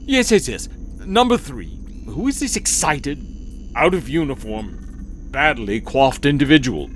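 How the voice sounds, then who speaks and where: An actor playing a vain, bored alien starship captain in a comedic audio drama, with a pompous, languid, fussy delivery. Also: male voice, mid-range voice, sing-song, unhurried, soft background music. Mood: exasperated, haughty. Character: vain, bored male alien spaceship captain obsessed with grooming.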